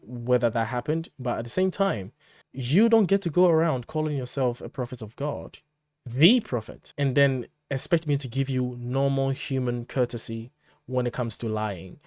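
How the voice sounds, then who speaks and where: A man's voice: mid-range voice, moderate pace, a little mellow, no room sound, clean studio sound. A man co-hosting a podcast, making his case conversationally with blunt emphasis and rhetorical questions. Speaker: podcast co-host. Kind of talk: conversational commentary, arguing a point.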